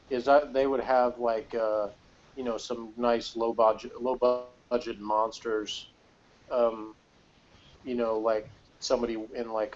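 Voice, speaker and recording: A man's voice: mid-range voice, darkish tone. Guy doing a casual review podcast, wry and rambly, kinda muffled lo-fi sound. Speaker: podcast co-host reviewing a TV episode. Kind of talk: casual chat. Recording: dull, lo-fi sound.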